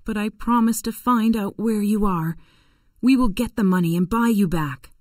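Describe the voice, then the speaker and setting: A woman's voice: medium pitch, normal speed, a little mellow, no echo, clean studio sound, pitched above the performer's own voice, natural voice. A woman voicing a distressed, kind-hearted farm mistress in an audiobook story, anxious and earnest. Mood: sorrowful, earnest.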